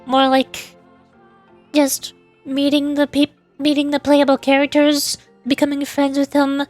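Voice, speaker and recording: A woman streamer giving casual commentary on a game in a halting, wry, musing way. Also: high-pitched, completely flat delivery, a little mellow. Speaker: VTuber streamer. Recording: game music faintly behind.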